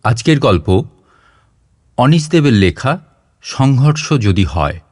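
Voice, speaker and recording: A man's voice: mid-range voice, big pitch swings, takes it slowly, a little mellow, no echo, studio quality. A man reading a scripted short story as an audio narrator.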